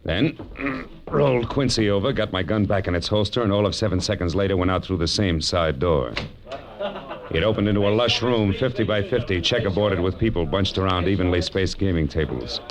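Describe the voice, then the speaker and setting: A man's voice: sits low, brisk, a crowd in the background. An American actor playing a tough, wry male private detective in 1940s radio noir style, clipped and wisecracking. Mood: tense narrating. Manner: hard-boiled, clipped, wisecracking. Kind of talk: 1940s radio noir acting and first-person narration. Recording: muffled old radio drama recording.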